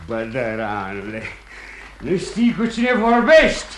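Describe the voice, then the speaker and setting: A man's voice: mid-range voice, wildly swooping pitch, a faint crowd, a little hiss or hum. An actor playing an agitated, defiant man with theatrical, abrupt outbursts in a radio play. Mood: indignant, loud. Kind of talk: mid-century radio theatre acting.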